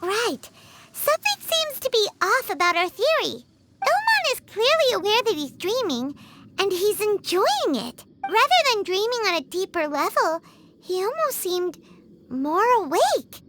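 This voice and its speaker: A woman voicing a small, excitable, naive childlike fairy companion in a video game, speaking of herself in the third person. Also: very high voice, unhurried, very noisy, full character voice. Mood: puzzled. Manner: refers to self in third person. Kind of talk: English video game voice acting.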